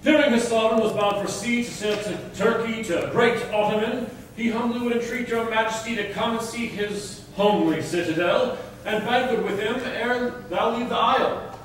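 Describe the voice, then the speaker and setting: Man acting a high-pitched courtly messenger, echoey stage. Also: normal speed, lightly acted. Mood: formal, courteous. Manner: declamatory, formal. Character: courteous male messenger delivering a lord's invitation. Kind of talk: staged reading of Elizabethan verse drama. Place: large indoor theatre stage. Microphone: far camera. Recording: distant echoey theatre stage, faint noise.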